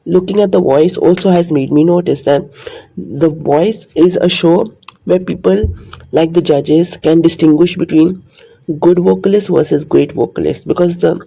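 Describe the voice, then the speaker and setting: Man, casual vlogger monologue, earnest and rambling, slightly high with a gravelly edge. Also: normal speed, mellow, rough recording.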